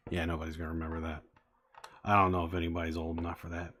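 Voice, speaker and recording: A man's voice: sits low, completely flat delivery, racing, fairly bright tone, a little hiss or hum. A man in his forties chatting casually with live-stream viewers, rambling with many fillers as he talks. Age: 40s. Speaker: streamer building toy sets.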